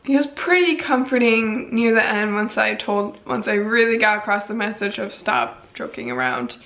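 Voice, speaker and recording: A young woman talking casually and candidly in a personal daily vlog, rambling a little and hoarse from a long day of talking. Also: mid-range voice, animated, normal speed, fairly bright tone. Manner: rambling, candid, self-deprecating. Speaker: vlogger.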